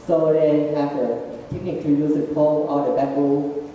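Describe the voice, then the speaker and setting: A man walks an audience through his slides in halting, non-native English, and his grammar often breaks down. His voice is dark and mellow. He is picked up from a distance in a big, echoing hall. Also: a little high, fairly even intonation, dull audio, slight background noise. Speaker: student presenter. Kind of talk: slide presentation. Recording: echoey hall, far from mic.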